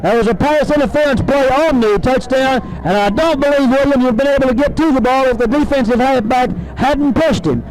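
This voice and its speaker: Folksy high-pitched Southern man commentating. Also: a little mellow, poor audio. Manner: folksy, colloquial, emphatic. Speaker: radio color commentator. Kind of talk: live football color commentary. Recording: 1970s AM radio sports broadcast.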